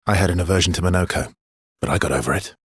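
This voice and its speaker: Husky, brooding, gentle man. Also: dry recording, studio quality. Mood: playful. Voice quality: husky, gravelly low voice. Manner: understated, halting, intimate delivery. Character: brooding, gently teasing, guilt-worn man, hesitant and tender. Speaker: video game voice actor. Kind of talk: naturalistic video game character dialogue.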